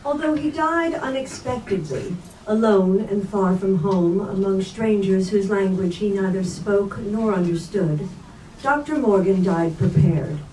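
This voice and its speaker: A woman's voice: mid-range voice, unhurried, warm tone. A woman reading literary prose aloud as a reader at a staged book reading. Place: bookstore event space. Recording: echoey room, distant mic, faint noise.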